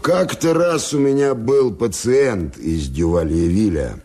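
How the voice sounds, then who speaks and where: An actor in a radio drama playing a grave, dignified doctor who speaks in a measured, courteous, weighty way. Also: male voice, lowish voice, animated, a little mellow, exaggerated voice. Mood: grave, recounting. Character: grave, dignified Haitian doctor, a disillusioned believer in communism. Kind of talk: Soviet radio drama acting.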